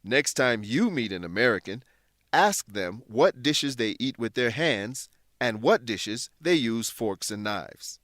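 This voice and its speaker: A man narrating a scripted English lesson as a teacher, explaining words in a deliberate, instructional manner. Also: medium pitch, animated, a bit bright, no room sound, studio quality.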